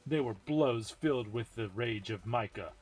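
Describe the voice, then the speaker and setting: A man's voice: medium pitch, measured pace, darkish tone, slightly rough. A man giving a dramatic amateur reading of a game story as narrator. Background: music.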